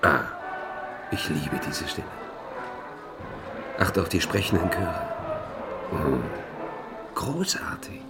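An actor playing a corrupt, self-pitying official who muses and wheedles in a German radio drama. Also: male voice, sits low, wildly swooping pitch, creaky, over music, heavily acted. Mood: self-pitying, rapturous. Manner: musing, slow, wheedling.

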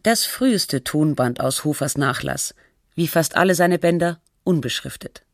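A performer playing a sober radio documentary narrator, reading a scripted feature in a measured, factual, reflective way. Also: medium pitch, gravelly, no echo, clean studio sound, natural voice. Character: sober documentary narrator telling an artist's life.

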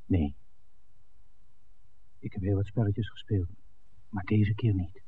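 A man's voice: on the low side, steady tone, slow. An actor playing an eager, impulsive, ardent man in a 1960s radio drama, speaking earnestly and directly. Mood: earnest, smitten. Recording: narrow, noisy old radio recording.

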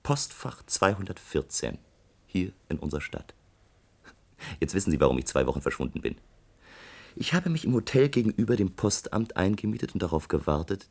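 An actor playing a bitter, gifted young doctoral student in a German radio play, sarcastic and accusing, with deliberate delivery. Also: male voice, sits low, creaky, a little mellow, heavily acted.

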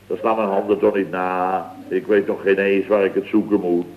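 A man's voice: sits low, level tone, moderate pace, a little hiss or hum, natural voice. An actor playing a grumbling, put-upon older man who rambles through his anecdotes indignantly in a comic radio play, acting out what others said. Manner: indignant, rambling, acts out reported dialogue. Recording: narrow-band old radio recording.

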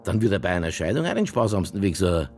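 A sardonic Bavarian police detective in a radio play. The voice is rough and full of fry, moving widely up and down as it presses a suspect with dry insistence. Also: male voice, low voice, very animated, fast talker, darkish tone, faint music behind. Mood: accusing. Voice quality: gravelly, husky. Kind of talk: naturalistic radio drama dialogue.

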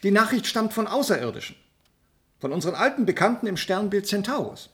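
A man's voice: sits high, big pitch swings, fairly quick, fairly bright tone. A man playing an insistent space-centre scientist in a German radio play, delivering alarming technical detail precisely and emphatically. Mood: urgent, insistent.

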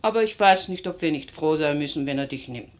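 An actress playing an anxious, loving middle-aged mother in a radio play, soft, pleading and tender. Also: low voice, animated, a little fast. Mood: worried, coaxing. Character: anxious, loving, careworn mother of a poor family. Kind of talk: German radio play acting.

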